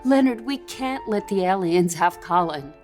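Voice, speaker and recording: A woman's voice: mid-range voice, animated, unhurried, darkish tone, faint music behind, lightly acted. A woman playing a distraught, pleading middle-aged mother in an audio drama, her delivery emotional and faltering.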